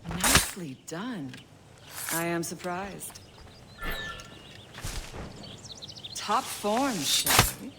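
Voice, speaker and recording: An actress playing a stern, sharp-tongued female assassin instructor in a video game, dry and commanding. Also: lowish voice, big pitch swings, slow, heavily acted. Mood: grudging approval. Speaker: combat teacher. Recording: clear background noise, outdoor ambience.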